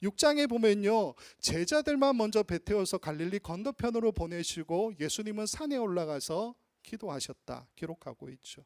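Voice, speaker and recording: Lively high-voiced Korean pastor preaching. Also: male voice, no room sound, studio quality. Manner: teacherly, draws out Greek and Aramaic word forms slowly. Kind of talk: expository sermon. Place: church sanctuary.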